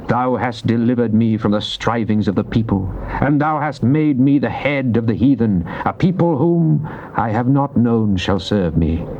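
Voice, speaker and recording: An actor playing a devout psalmist king, reciting scripture in a solemn, declamatory, deliberate way. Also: mid-range voice, a little mellow, lightly acted. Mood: triumphant, stern. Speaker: actor reading Psalms in a dramatized Bible recording. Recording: muffled old cassette tape with faint noise.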